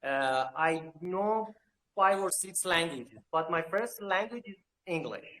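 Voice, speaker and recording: A man's voice: sits high, lively intonation, takes it slowly, pushed and bright, rough recording. Hesitant man full of filler sounds. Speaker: crypto project representative. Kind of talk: live online AMA answers.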